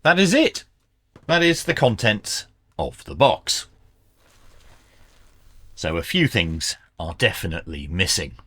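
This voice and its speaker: Lively man, low-pitched voice. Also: slow, long pauses, breathy edge. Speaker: reviewer and presenter of radio gear. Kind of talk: unboxing product review narration.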